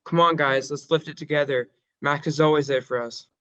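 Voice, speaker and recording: A man's voice: a little high, steady tone, brisk, fairly bright tone, no echo, dull audio, close to an everyday voice. A man playing a good-natured teenage boy in a screenplay table read. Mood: eager, friendly. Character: Gerald, good-natured skinny teenage boy, slow learner.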